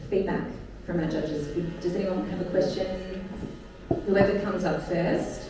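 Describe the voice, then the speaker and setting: A woman moves the event along in a brisk way and calls on the judges. Her voice is fairly low. She is heard from far off in a very echoey room with clear noise around. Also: steady tone. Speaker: event host. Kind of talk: hosting a live event.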